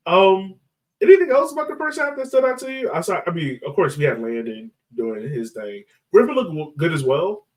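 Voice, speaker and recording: A man's voice: high voice, sing-song, moderate pace. A man hosting a casual soccer podcast in a playful, joking way, a little distant from the microphone. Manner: playful, laughs often. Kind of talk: casual chat, joking sports talk.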